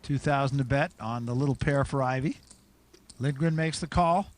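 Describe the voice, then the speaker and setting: A man hosting and calling the action in a televised poker broadcast, with a brisk, measured play-by-play delivery. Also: mid-range voice. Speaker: play-by-play commentator.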